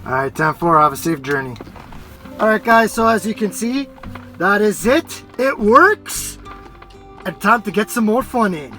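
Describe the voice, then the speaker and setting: Hobbyist man, casual how-to narration, rambling with fillers, voice on the higher side. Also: unhurried, over music. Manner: relaxed, rambling, many filler restarts. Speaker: vlogger installing a CB radio. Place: parking garage beside his truck.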